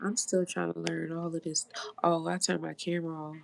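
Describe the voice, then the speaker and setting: A woman hosting a live stream with a stuffy, congested voice. Her tone has a gravelly, fry-edged texture. She chats loosely and talks straight to her viewers, reading their comments as they come in. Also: lowish voice, flat delivery, moderate pace, background noise. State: stuffy nose. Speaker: livestream host. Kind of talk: casual live stream chat.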